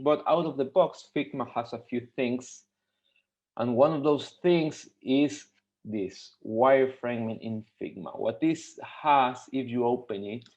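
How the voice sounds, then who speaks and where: A man's voice: fairly low pitch, a little mellow. A man from Mexico, a UX designer, teaching a live webinar tutorial with many fillers and restarts. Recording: dull lo-fi webinar audio.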